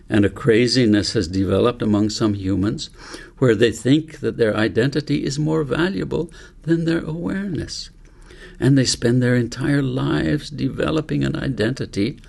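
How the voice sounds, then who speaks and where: A man voicing a wise, patient old grandfather in a storybook, explaining things in a measured, instructive way. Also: mid-range voice, gravelly, darkish tone, husky. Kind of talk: storybook reading.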